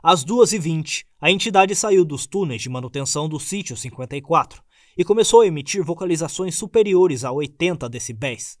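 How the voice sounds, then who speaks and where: A man narrating a formal report in a measured reading. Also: fairly high pitch, expressive, no echo, clean studio sound.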